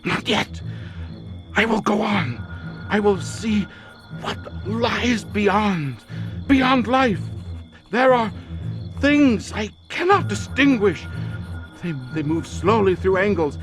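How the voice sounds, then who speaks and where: Male researcher, horror audio drama: entranced, theatrical, incantatory, higher-pitched and highly animated. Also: over music. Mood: rising terror. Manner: theatrical, incantatory, halting with repeated words. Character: obsessed male researcher in a drugged, visionary trance.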